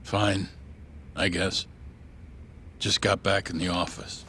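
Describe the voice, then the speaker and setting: Low-voiced, stern older office boss. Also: male voice, flat delivery, slow pace, pushed and bright, slight background noise, exaggerated voice. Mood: curt. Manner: stern, blunt, fatherly. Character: weary, grieving older male law-office boss, gruff and resolute. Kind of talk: dramatic video game voice acting. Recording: background music under the dialogue.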